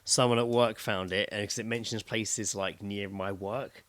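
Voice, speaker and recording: A man's voice: on the low side, fairly even intonation, normal speed, fairly bright tone, no room sound. A British man co-hosting a podcast, bantering casually and informally with some swearing. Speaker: podcast co-host.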